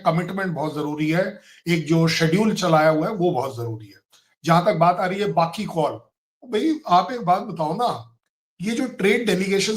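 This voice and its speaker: A man from India, a retired army colonel and guest, arguing his case point by point on a talk show. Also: high voice. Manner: emphatic, argues point by point.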